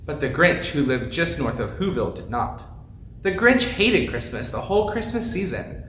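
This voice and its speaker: A man's voice: a little high, sing-song, moderate pace, fairly bright tone. A man, a pastor, reading a rhyming storybook aloud with theatrical, emphatic expression. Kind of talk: expressive storybook narration in rhyme. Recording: echoey room with faint noise.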